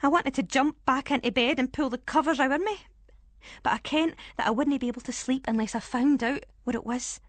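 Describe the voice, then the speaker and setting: High-voiced Scottish woman telling a ghost story. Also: brisk, dry recording. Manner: suspenseful, expressive. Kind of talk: first-person dramatic ghost story narration.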